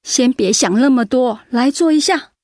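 An actress playing a steady, supportive friend in a radio drama, soothing and encouraging. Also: female voice, sits high, normal speed, no echo, clean studio sound. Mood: reassuring. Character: supportive, steady female friend, comforting and practical.

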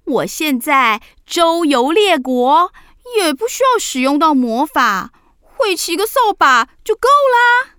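A woman's voice: sits high, big pitch swings, slow, pushed and bright, no echo, clean studio sound, full character voice. A woman voicing a carefree, doting witch aunt with a playful, exaggerated way of talking, in a children's radio play. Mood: breezy, reassuring. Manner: exaggerated, teasing.

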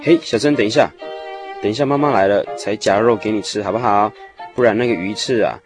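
A man playing a patient, steady father of small children in a radio drama, coaxing and explaining. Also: fairly low pitch, a little fast, music in the background, lightly acted.

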